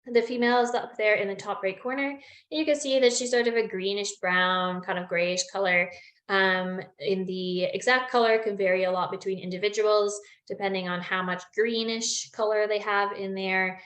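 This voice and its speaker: A woman's voice: medium pitch, steady tone, normal speed, fairly bright tone. A young woman presenting a bird identification lesson on a video call, friendly and explanatory with frequent ums, slightly losing her voice. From Newfoundland. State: losing her voice, clearing throat. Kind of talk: online webinar lesson. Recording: echoey room on a video call.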